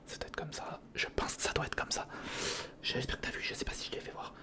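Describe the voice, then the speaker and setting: A man whispering an informal ASMR narration of hands-on work, thinking aloud and softly repeating words, close to the microphone. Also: quick pace. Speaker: ASMR video creator. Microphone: close recorder or studio microphone.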